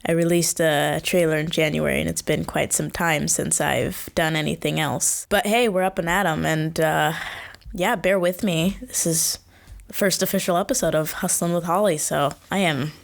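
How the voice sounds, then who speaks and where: Rambling young woman podcaster, gravelly voice. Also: low voice, steady tone, moderate pace. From Washington State, USA. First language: born in Kenya. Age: young adult. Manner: rambling, goes in circles with self-corrections. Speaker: podcast host. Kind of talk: casual solo podcast monologue.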